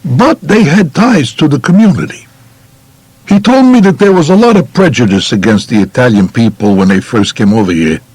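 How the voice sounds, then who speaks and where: Man voicing a blunt, streetwise Brooklyn mobster, lively pitch. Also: slightly rough, no echo, poor audio. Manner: colloquial, blunt. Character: tough streetwise Brooklyn mobster recalling his boyhood.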